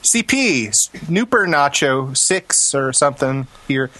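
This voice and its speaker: A man's voice: fairly high pitch, animated, takes it slowly, sharp tone, dry recording. A man hosting a podcast in casual, joking chat with a dry, sarcastic manner. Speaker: podcast host.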